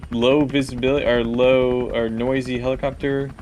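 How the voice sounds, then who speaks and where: A man's voice: medium pitch, flat delivery, moderate pace, darkish tone. A man running a tabletop game as game master, narrating off the cuff and thinking aloud as he goes. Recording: faint background noise.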